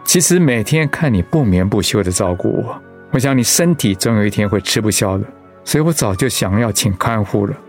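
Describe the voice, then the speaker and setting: An actor playing a seriously ill but patient, encouraging husband in a Mandarin radio drama. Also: male voice, fairly low pitch, expressive, a little fast, a little mellow. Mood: earnest, reassuring. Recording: music under the voice.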